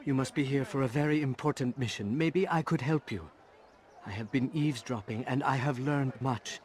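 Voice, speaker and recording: An actor playing an eager young apprentice informant in a video game, earnest, formal and flattering. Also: male voice, medium pitch, normal speed, a little hiss or hum, lightly acted. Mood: eager, sheepish.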